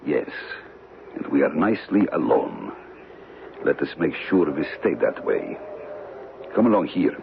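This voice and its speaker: An actor playing a menacing, cold-blooded villain in an old-time radio drama, speaking formally and deliberately with condescension. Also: male voice, a bass voice, very animated, creaky, exaggerated voice. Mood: quietly commanding. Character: menacing male villain, a silky cold-blooded killer with umbrella. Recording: tinny, noisy old radio broadcast.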